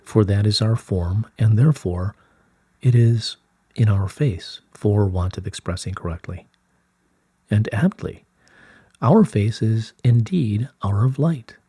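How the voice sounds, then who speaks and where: A man reading the part of a serene, wise collective of light beings in formal, measured, solemn phrasing from a scripted dialogue. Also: fairly low pitch, animated, mellow, slightly rough, no echo, studio quality, exaggerated voice. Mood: patient guidance. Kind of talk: scripted channelled dialogue read aloud.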